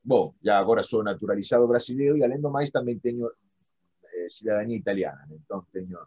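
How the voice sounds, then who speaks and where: A middle-aged man from Argentina with an Argentine Spanish accent, a native Spanish speaker, explaining in a halting, self-correcting academic conversational way. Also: lowish voice, lively intonation, warm tone, husky. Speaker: philosophy professor, interview guest. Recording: dull lo-fi audio.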